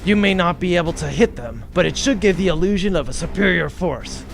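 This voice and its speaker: A no-nonsense military commander, played by a man, with a gravelly, fairly high male voice. He briefs in clipped, serious terms in a sci-fi radio play, with music behind him. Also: expressive, brisk. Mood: brisk, serious. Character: no-nonsense veteran military commander drilling rebel troops. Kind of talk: comedic sci-fi audio drama acting.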